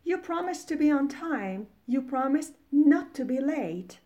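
Female English teacher, native Farsi speaker. Soft mellow tone, very unhurried delivery, explanatory vocabulary lesson. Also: high voice, expressive. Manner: deliberate, explanatory. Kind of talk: vocabulary lesson with definitions and examples.

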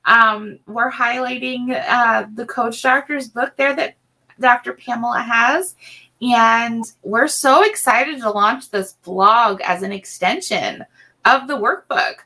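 A middle-aged woman co-hosts a podcast in a slow, rambling way, full of ums and restarts. Her voice is bright and edged with vocal fry. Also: fairly high pitch. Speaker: co-host and assistant. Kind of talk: casual podcast chat.

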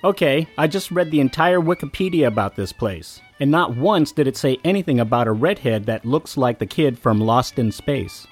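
A man's voice: medium pitch, lively intonation, moderate pace, hardly any pauses, faint music behind, natural voice. A man playing an exasperated, puzzled friend in a comic audio drama.

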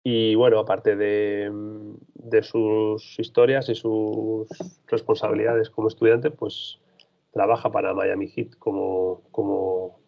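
A freelance basketball reporter answers interview questions in a low, gravelly voice. He talks thoughtfully, rambles, and restarts often. The recording sounds dull and lo-fi. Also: level tone, slow pace.

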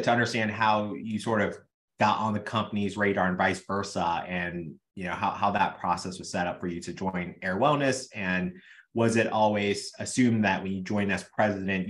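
Low-voiced male podcast host. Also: fairly bright tone. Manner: casual, conversational questions. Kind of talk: podcast interview.

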